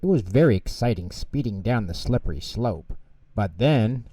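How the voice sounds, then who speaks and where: A man narrating children's bedtime stories in an expressive storytelling style. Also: on the low side, moderate pace, no echo. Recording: dull, lo-fi close recording.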